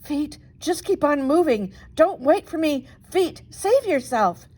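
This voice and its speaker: A woman's voice: high voice, sing-song, takes it slowly, mellow, pitched above the performer's own voice, heavily acted. A woman voicing a frightened young girl bunny in a storybook, urgent and pleading. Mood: desperate. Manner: urgent, exclaiming.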